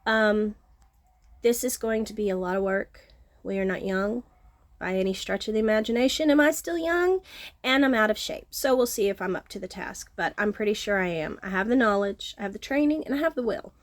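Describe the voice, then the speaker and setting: A middle-aged woman with a nasal, sniffly voice, chatting casually on her home vlog and filling pauses with ums. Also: mid-range voice. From Texas Gulf Coast. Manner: frequent ums, self-deprecating, chatty. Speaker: vlogger, horticulturist. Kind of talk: casual talking-to-camera vlog. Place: outdoors at home, front porch.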